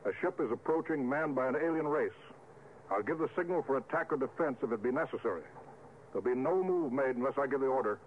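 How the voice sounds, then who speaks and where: A man's voice: mid-range voice, animated, fast talker, natural voice. Man acting stern spaceship captain, noisy old radio. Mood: commanding. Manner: commanding, clipped. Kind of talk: 1950s radio drama acting. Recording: muffled, noisy vintage radio recording.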